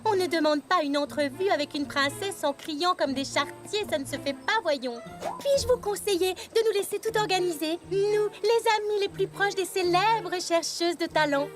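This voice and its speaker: A woman's voice: very high voice, moderate pace, over music. A woman voicing a snobby, gushing schoolgirl pony in a cartoon dub, with affected, theatrical delivery. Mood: smug. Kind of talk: French cartoon dub acting.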